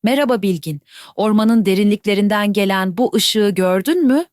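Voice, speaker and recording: A woman's voice: medium pitch, normal speed, a little mellow, dry recording, clean studio sound, natural voice. A woman voicing a brave little rabbit. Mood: eager. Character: brave curious young rabbit.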